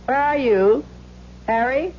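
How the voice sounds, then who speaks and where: An actress playing a scolding, sardonic shopkeeper's wife in a radio drama. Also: female voice, high-pitched, slow. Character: nagging, sarcastic shopkeeper wife. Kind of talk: 1970s radio drama acting. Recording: muffled old radio with faint noise.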